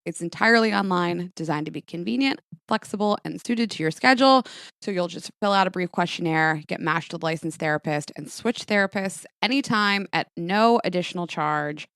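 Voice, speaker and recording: Low-pitched woman reading an ad, dry sound. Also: brisk, fairly bright tone, clean tone, no echo. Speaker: ad reader. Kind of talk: scripted podcast ad read.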